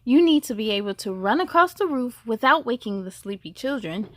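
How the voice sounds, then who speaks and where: A woman with a high voice hosts a read-aloud for children. She reads expressively and adds animated asides for her young listeners. The sound is dry, with very little room. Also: a little fast, dry recording. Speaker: host of children's read-aloud story time. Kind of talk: expressive picture-book reading for children.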